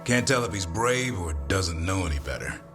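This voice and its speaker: Deep, earnest griffin-keeping warrior. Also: male voice, expressive, normal speed, music in the background. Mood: proud, assured. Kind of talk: video game dialogue acting.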